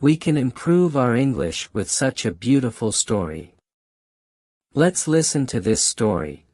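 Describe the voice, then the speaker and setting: A man's voice: medium pitch, sing-song, measured pace, darkish tone, dry recording, studio quality. A man with a Hong Kong Cantonese accent, a native Cantonese speaker, giving motivational advice to his viewers, emphatic and repeating key phrases. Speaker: channel host giving language advice. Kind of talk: motivational talk to camera.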